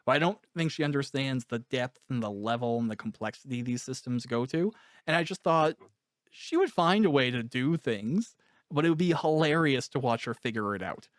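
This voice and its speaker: A man's voice: mid-range voice, animated, moderate pace, no room sound, studio quality. A man speaking casually and candidly as an interviewer and author, in an introspective, conversational way. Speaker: author interviewing a cast member.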